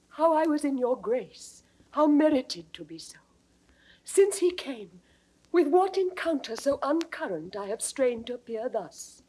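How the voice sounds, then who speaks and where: A high-voiced, dignified wronged queen. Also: low-quality recording. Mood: proud, impassioned. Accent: British. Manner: formal, measured diction, sometimes dropping to hushed tones. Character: dignified, wronged queen on trial, noble and steadfast. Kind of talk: classical Shakespearean stage acting.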